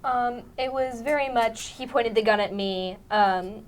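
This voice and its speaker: A woman's voice: a little high, unhurried. A teenage female student actor chatting casually in an interview, informal and a bit giggly. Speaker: student actress being interviewed. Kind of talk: casual chat.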